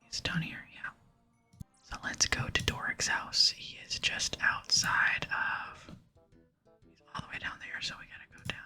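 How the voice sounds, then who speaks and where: Female gaming ASMR creator, crisp-edged airy whisper, casual and tentative, music behind. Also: a little slow, pushed and bright. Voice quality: whispered, breathy.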